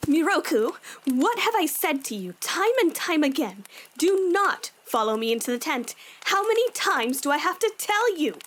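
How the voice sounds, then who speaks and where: A woman's voice: high voice, big pitch swings, moderate pace, a bit bright. A woman playing a firm, stern young woman with a no-nonsense manner in an anime-style audio drama. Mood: exasperated.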